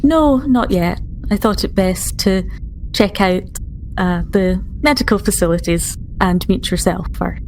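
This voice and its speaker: A woman's voice: medium pitch, measured pace, a little mellow, slight background noise, lightly acted. A woman playing a polite, professional starship doctor in a tabletop game, speaking in a composed, formal way.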